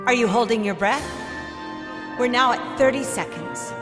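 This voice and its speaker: Magician's female assistant played by a woman, commanding, declamatory radio-drama announcer, echoing hall, loud music underneath. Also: on the high side, animated, moderate pace, lightly acted. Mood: suspenseful. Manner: declamatory, suspense-building announcing.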